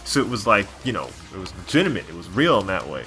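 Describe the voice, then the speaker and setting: A man's voice: sits low, sing-song, quick pace, sharp tone, faint music behind. A man doing casual gameplay commentary as a co-host, rambling and opinionated like a devoted fan. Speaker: gaming video co-host.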